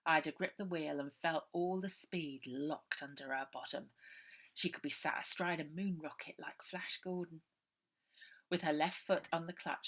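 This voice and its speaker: A woman author reading her own children's adventure novel aloud, lively, dropping to a whisper for suspense. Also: low-pitched. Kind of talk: storybook narration for children.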